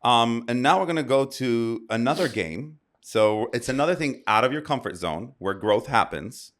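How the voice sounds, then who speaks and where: A man's voice: medium pitch, expressive, normal speed, a bit bright. An American man hosting a casual chat, enthusiastic and rambling with frequent restarts. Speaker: host.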